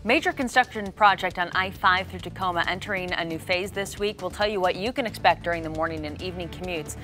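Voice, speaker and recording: Bright American female news anchor. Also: on the low side, fast talker, faint music behind. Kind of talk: scripted TV news reading.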